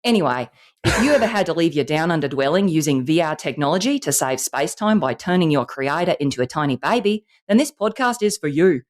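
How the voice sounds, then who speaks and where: Giggly Aussie nature-doc host, a woman putting it on: lowish, very lively delivery. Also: a little fast. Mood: playful. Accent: put-on Australian. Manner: giggly, theatrical narration with frequent laughs. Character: over-the-top female wildlife documentary host, bubbly. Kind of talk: comic parody of a nature documentary intro.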